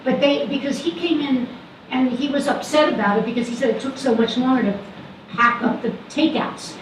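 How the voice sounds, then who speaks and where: A woman's voice: high voice, steady tone, normal speed. An older, hard-of-hearing woman chairing a public meeting, speaking hesitantly with many restarts, recorded from afar in an echoey room. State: hard of hearing, wears hearing aids. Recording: echoey room, distant mic, background noise.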